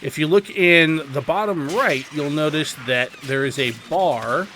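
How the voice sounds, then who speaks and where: A man's voice: on the high side, a little slow, sharp tone. A man giving casual, instructional gameplay commentary as a guide host, in an explanatory, slightly wry way. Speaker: video game guide host. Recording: clear background game noise. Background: video game ambience.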